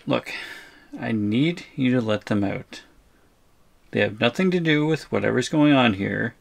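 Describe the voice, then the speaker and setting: A man plays an anxious shrunken captive in an audio roleplay. His voice has a gravelly creak and swings widely in pitch. He pleads and placates, trying to reason his way out. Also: animated, a little slow. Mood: placating.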